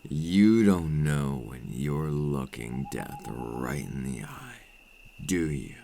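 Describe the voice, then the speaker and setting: A man playing a menacing, seductive vampire captor in an intimate ASMR roleplay, cruel, patient and taunting, with slow, silky threats close to the mic. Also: lively intonation, mellow, a little hiss or hum, exaggerated voice. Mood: cold warning. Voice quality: very deep, gravelly. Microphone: close microphone.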